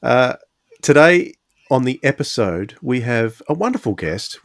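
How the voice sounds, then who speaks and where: A man's voice: medium pitch, no room sound, studio quality. An Australian man presenting a podcast in a casual style as its host.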